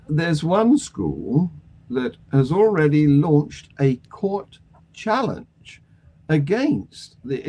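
An older British man hosting a conversational news discussion over a video call, phrasing his points in a measured, deliberate way. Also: medium pitch, dark tone, slightly rough, poor audio. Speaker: panel discussion host. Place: small attic room at home.

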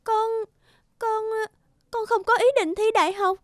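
A woman voicing an anxious, earnest teenage girl in a cartoon, soft, hesitant and polite, with a Northern Vietnamese accent. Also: extremely high pitch, measured pace, no room sound, clean studio sound. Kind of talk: animated cartoon dialogue. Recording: music behind the dialogue.

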